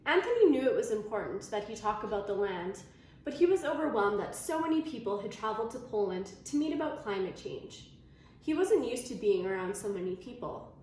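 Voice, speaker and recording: A woman reading a children's story aloud in an expressive storytelling manner. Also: medium pitch, a little fast. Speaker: storybook reader. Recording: very echoey room, faint noise.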